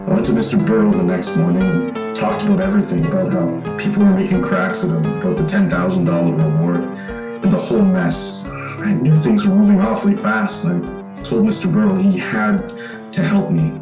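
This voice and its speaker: A man's voice: sits high, very animated, normal speed, vocal fry, roomy sound, music in the background, full character voice, low-quality recording. An actor playing an evasive young man pleading his case in an old-time radio suspense drama. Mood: tense narration. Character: young man claiming to be long-lost son, evasive, pleading.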